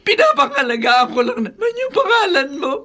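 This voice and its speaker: Wailing, high-pitched Filipino mother-in-law. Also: very animated, a little fast, fairly bright tone. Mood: tearful, aggrieved. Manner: wailing, aggrieved, dramatic complaining. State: crying. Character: tearful, accusing, meddling older mother-in-law. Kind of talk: Filipino radio drama acting.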